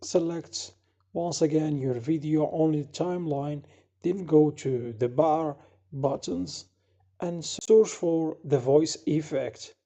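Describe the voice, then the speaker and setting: A man hosts a step-by-step software tutorial in a very dark, soft-edged tone. His voice is husky and sits a little higher than average. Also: slow pace. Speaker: tutorial host.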